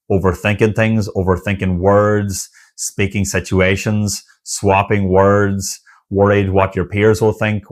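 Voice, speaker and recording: Earnest low-voiced man encouraging viewers. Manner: earnest, encouraging, speaks in repeated list phrases with pauses. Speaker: online community founder and stuttering coach. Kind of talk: motivational talk to camera.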